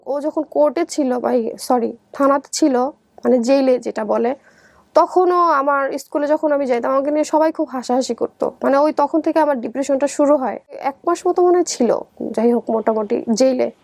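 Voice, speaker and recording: High-pitched young Bangladeshi woman. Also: normal speed, creaky, darkish tone, no room sound. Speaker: guest telling her life story. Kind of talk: personal storytelling in conversation.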